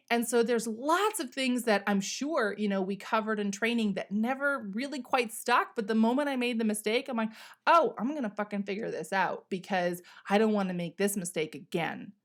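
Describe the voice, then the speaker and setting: A woman hosting a solo podcast, talking casually and candidly in a conversational, emphatic way with some salty language. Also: a little high. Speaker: podcast host.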